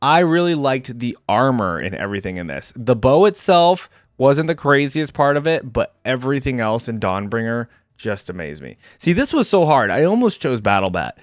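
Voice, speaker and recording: Guy doing a gaming reaction, medium pitch, slangy, thinks out loud, really dry audio. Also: sing-song, moderate pace, few pauses, no room sound. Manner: slangy, thinking aloud, second-guessing himself. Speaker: reaction video host, gaming fan. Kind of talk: casual unscripted reaction commentary.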